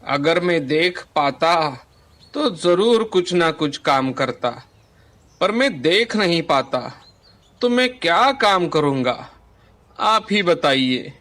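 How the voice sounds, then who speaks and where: A man's voice: a little high, expressive, fairly bright tone. Pitiful, pleading blind beggar, acted by a man. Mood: sorrowful. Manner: slow, pleading. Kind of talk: cartoon moral story dialogue.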